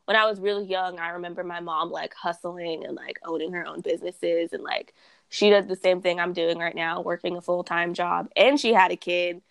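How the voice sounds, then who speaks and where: A young woman guest talks casually on a podcast, her voice smooth and resonant with a gravelly fry at the ends of phrases. She rambles in a chatty way, full of "like" and quick laughs. The sound is dry and close, with no room around it. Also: fairly low pitch, steady tone, no echo. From Texas. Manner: chatty, laughs often, lots of "like". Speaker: guest, cannabis brand founder.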